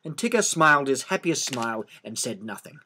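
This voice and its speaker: A man reading a children's story aloud as an expressive narrator. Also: mid-range voice, brisk, slight background noise.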